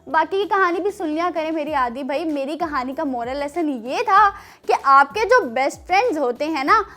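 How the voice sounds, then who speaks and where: A woman's voice: high-pitched, lively intonation, moderate pace, pushed and bright. A young woman with a Pakistani accent, presenting and telling stories expressively as host of a children's show. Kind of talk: animated storytelling and presenting for children.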